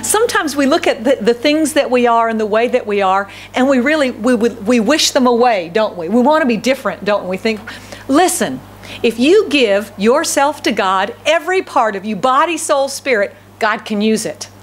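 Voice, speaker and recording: A middle-aged woman with an American accent preaches from a podium microphone in a church hall. Her loud, fairly high voice is emphatic, and she repeats key phrases and puts questions to the congregation. A faint room hum sits under her. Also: animated, normal speed. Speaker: pastor. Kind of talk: sermon.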